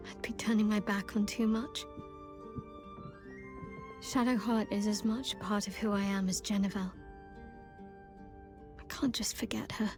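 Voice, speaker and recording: An actress voicing a wistful, guarded but tender young woman cleric in a video game, speaking softly and haltingly. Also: mid-range voice, natural voice. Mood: pained, apologetic. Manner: soft, hushed, halting, earnest. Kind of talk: video game cutscene acting.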